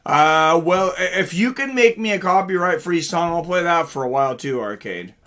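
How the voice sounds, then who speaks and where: Bright, higher-voiced man chatting on stream. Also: animated, normal speed. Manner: chatty, informal, greets viewers and reads chat aloud. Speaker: live stream host. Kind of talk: casual live reaction chat and fight commentary.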